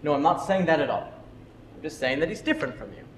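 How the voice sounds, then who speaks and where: A man's voice: fairly high pitch, animated, fast, close to an everyday voice. A man playing an earnest, opinionated history teacher who defends his methods, in amateur stage drama. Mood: insistent. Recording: echoey hall, faint noise.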